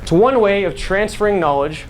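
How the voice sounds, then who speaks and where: A man's voice: high-pitched, lively intonation, moderate pace. A young man giving an informal speech to an audience in a conversational, playful storytelling manner. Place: live talk before an audience at a school. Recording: noisy live recording, background ambience.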